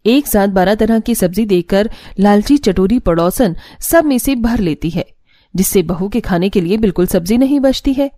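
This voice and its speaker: A woman's voice: medium pitch, lively intonation, moderate pace, dark tone, no room sound. A woman reading scripted narration for a cartoon family story.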